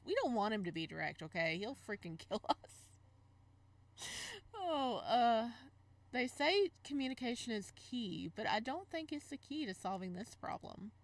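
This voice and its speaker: A woman reading a visual novel aloud and commenting casually as she plays, expressive and reacting out loud, sometimes stumbling over the text. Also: a little high. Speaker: game streamer, let's player.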